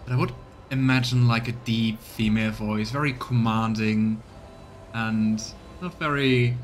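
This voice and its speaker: A man's voice: on the low side, steady tone, unhurried. A man giving casual commentary and narration as he streams a voiced let's play.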